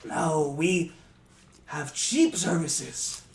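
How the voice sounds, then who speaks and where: Man doing a soft-spoken old goblin elder. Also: on the high side, lightly acted. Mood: kindly. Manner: soft, slow, hushed, measured. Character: small old male goblin elder, stern yet kind. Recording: roomy, distant, faint noise.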